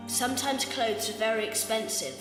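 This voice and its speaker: A woman's voice: fairly high pitch, moderate pace, big-room sound, music in the background, lightly acted. A woman playing an earnest, insistent young British schoolboy who mourns his father, in a radio drama. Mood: solemn, earnest. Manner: insistent, questioning.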